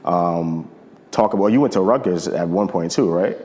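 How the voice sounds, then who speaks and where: Young male host, very low pitch, relaxed slangy chat. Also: moderate pace. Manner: laid-back, slangy, repeats words. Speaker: interview host. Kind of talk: casual interview chat.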